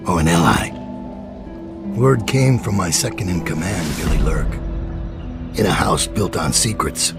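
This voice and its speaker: Gravelly, brooding old assassin. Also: male voice, sits low, heavily acted. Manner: grim, slow, brooding narration. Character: weary aging male assassin, grim and regretful. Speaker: narrator. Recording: game cutscene under loud music.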